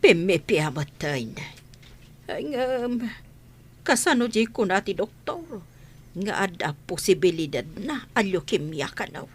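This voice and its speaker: A woman's voice: medium pitch, sing-song, unhurried, husky, exaggerated voice. A woman playing a sorrowful, prayerful village woman in a radio drama. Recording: faint background ambience and noise.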